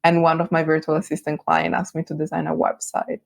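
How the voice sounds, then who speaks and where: A woman with an Italian accent whose first language is Italian, chatting as an interview guest, friendly and quick to laugh. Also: deep voice, completely flat delivery, vocal fry, no room sound. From Italy. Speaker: guest, brand designer. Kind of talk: casual interview chat.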